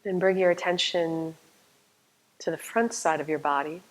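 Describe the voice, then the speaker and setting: A woman leading a guided meditation as a yoga nidra teacher, speaking calmly and soothingly with long, unhurried pauses. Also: fairly low pitch, rough recording.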